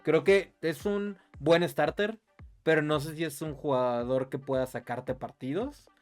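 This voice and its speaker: A man's voice: on the high side, measured pace, soft background music. A man with a Mexican Spanish accent co-hosting a sports podcast, giving casual, opinionated football analysis.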